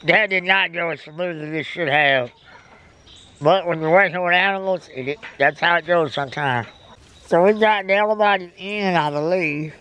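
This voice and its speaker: A man's voice: high voice, normal speed, sharp tone, clear, resonant tone, poor audio. A man, chatting casually while doing chores at an outdoor chicken coop, easygoing and folksy. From United States. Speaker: homesteader vlogger. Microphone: camera on wheelchair and handheld selfie. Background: faint birds and outdoor ambience.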